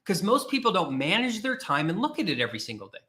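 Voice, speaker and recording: A man's voice: high-pitched, wildly swooping pitch, fast, bright, forceful tone. A man hosting a career podcast, talking to listeners in an emphatic, repetitive way and challenging them directly. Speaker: podcast host and career coach. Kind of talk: motivational livestream talk.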